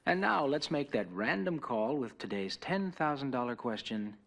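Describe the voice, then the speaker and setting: A theatrical radio host, with polished announcer delivery. His gravelly voice swings widely in pitch over prominent music, as in a TV commercial. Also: expressive, moderate pace, fairly bright tone. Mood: smooth, suspenseful. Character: smooth-talking classical radio host running phone quiz.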